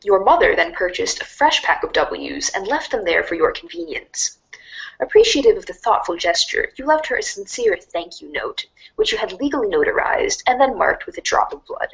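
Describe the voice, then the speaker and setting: A woman voicing a wry, mock-grandiose storybook narrator in a dramatic read-along style, deadpan and sardonic. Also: mid-range voice, normal speed, gravelly, dull audio. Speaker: narrator of a fan readers' theater show.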